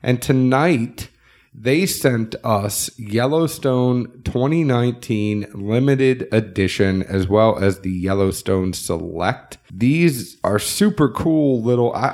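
A man's voice: lowish voice, darkish tone. A man with a nasal voice co-hosting a casual podcast, chatting loosely and running through sponsor plugs. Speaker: podcast co-host.

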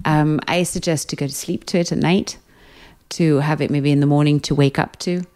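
A British woman with a low-pitched voice speaks as a guest in an interview. She is a hypnotherapist and talks in a calm, soothing, measured way. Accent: British English. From Britain. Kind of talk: interview conversation.

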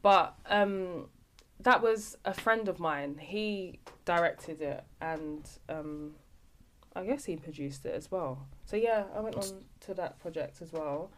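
A woman's voice: on the low side, slow pace, fairly bright tone, a little hiss or hum. A young woman, a podcast guest telling the hosts about her acting work in a casual chat and often restarting her sentences. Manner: talkative, restarts sentences while explaining.

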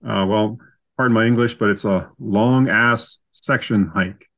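A man's voice: lowish voice, fairly even intonation, unhurried, fairly bright tone. A man with a Canadian accent telling stories casually as an interview guest, in an easygoing, self-deprecating way. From Canada, southern Saskatchewan. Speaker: podcast guest.

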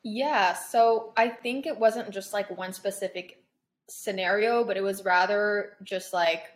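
A woman's voice: medium pitch, unhurried. A young woman, born in Paris and raised in Toronto, answering interview questions in a chatty, searching way with many ums. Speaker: guest, content creator.

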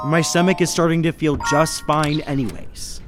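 An actor playing a level-headed businessman who narrates a murder mystery in old-time radio style, measured and reasoning. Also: fairly high pitch, steady tone, close to an everyday voice. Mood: calm narrating. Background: music behind the voice.

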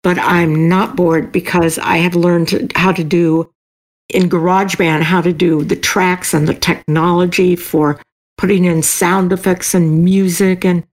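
A woman's voice: lowish voice, flat delivery, unhurried. An older woman chatting casually as an interview guest, enthusiastic and emphatic in how she talks. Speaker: podcast guest, author.

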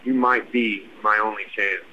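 An actor with an American accent playing a weary, paranoid aging punk rocker, speaking earnestly in halting phrases for an audio drama voicemail. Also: male voice, on the low side, fairly even intonation, close to an everyday voice. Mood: paranoid, pleading. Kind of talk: audio fiction voicemail monologue. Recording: muffled noisy phone voicemail.

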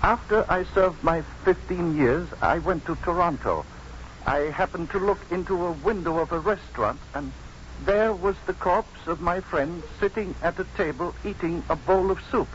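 Male actor as mild, plain-spoken ex-convict, 1950s radio play. Halting delivery, higher register, light hiss. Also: close to an everyday voice. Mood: deadpan, mild. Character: slow, simple, mild-mannered male ex-convict, earnest storyteller. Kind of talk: 1950s radio drama acting. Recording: muffled, noisy 1950s radio broadcast.

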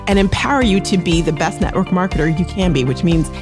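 Woman, podcast host, lower-pitched, eager and rambling, faint music behind. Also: fast talker. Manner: enthusiastic, rambling, many restarts. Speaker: podcast host and social selling coach. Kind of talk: podcast chat.

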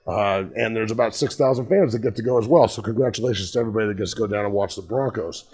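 A man, a former player and football show host, talks through the week's games in a casual, blunt way, with a raspy, worn-out voice. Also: lowish voice, quick pace, darkish tone. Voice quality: raspy, gravelly. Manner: blunt, conversational, frequent restarts and swearing. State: hoarse from yelling. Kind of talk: casual sports commentary.

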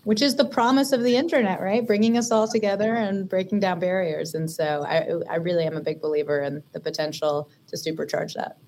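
A woman with a Californian accent on a panel, speaking in a measured way with frequent fillers. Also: lowish voice, fairly even intonation, darkish tone, a little hiss or hum. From western United States. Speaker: investor panelist. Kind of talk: panel discussion.

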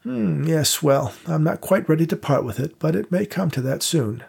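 A man's voice: fairly high pitch, animated, normal speed, gravelly, darkish tone, husky, voice pushed up, full character voice. A man voicing a frail, courtly theater manager in his late nineties, nostalgic and quavering. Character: frail genteel old male theater manager, 97.

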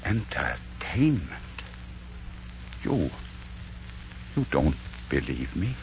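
An actor playing a weary, proud, lonely old Spanish conquistador in a 1940s radio drama, theatrical and wistful. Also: male voice, extremely low pitch, big pitch swings, very slow, darkish tone, a little hiss or hum, heavily acted. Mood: hurt. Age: older. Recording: muffled 1940s radio broadcast, music behind.

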